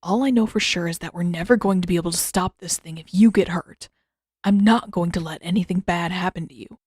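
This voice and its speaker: A woman's voice: lowish voice, brisk, darkish tone, slightly rough, no echo. A woman reader acts a teenage prince in a fantasy audiobook. He is blunt and protective, speaking with urgency and dry understatement. The recording has very little room sound.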